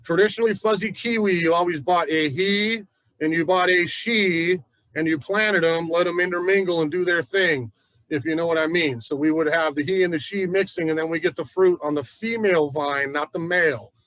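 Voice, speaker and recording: A man's voice: a little high, normal speed, fairly bright tone, no echo, low-quality recording. Folksy man teaching a gardening class. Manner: chatty, folksy, enthusiastic. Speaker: nursery gardening instructor. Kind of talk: casual gardening class talk. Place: plant nursery.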